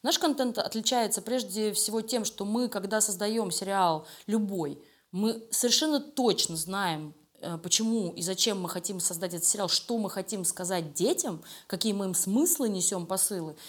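A woman's voice: medium pitch, moderate pace. A Russian woman giving conversational interview answers in an emphatic, colloquial and enthusiastic way. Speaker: animation studio creative director, interview guest.

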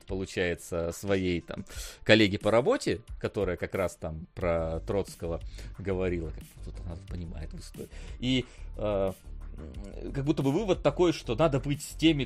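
A man co-hosting a casual film discussion podcast, rambling with many fillers and restarts and laughing often. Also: fairly low pitch. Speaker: podcast co-host.

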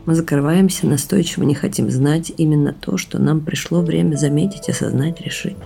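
Frank confiding lady in an audio play, dark mellow gravelly voice, bit of music behind. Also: sits low, a little fast. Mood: frank, resolute. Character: candid, reflective woman, intimate partner hoping for motherhood. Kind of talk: audio drama dialogue.

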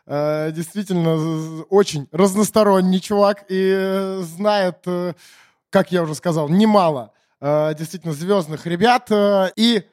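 Higher-pitched man hosting a casual podcast. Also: measured pace, darkish tone. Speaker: podcast host.

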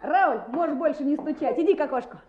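An actress playing a bossy schoolchild ringleader in a Soviet radio play. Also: female voice, sits high, quick pace, roomy sound, a little hiss or hum, natural voice. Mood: commanding. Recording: dull lo-fi old radio recording.

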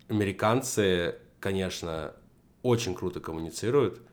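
A young man in a casual conversation, explaining his points in a structured way with frequent fillers. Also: deep voice. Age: young adult. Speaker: podcast guest.

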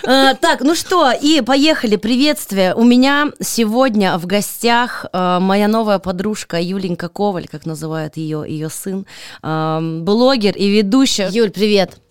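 A woman's voice: medium pitch, measured pace, clean tone, dry recording, studio quality. A woman hosting a podcast in casual chat, bubbly and playful. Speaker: podcast host.

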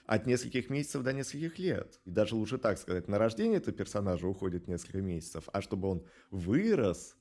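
A middle-aged man giving thoughtful interview answers in a reflective, measured way with hesitant pauses. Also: on the low side, very animated, warm tone. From Russia. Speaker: animation studio director, interview guest.

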